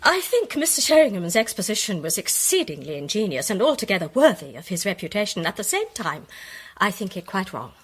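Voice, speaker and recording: A lady amateur detective in a radio drama. She is formal, incisive and self-possessed, at a medium pitch with lively rises and falls and a slightly husky tone. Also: a little fast. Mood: coolly dissenting. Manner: precise, assured, formal. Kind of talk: British radio drama acting.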